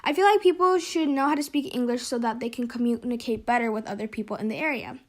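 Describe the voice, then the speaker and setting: A woman's voice: fairly high pitch, quick pace. A woman gives her opinion in a casual, conversational way. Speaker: interviewee.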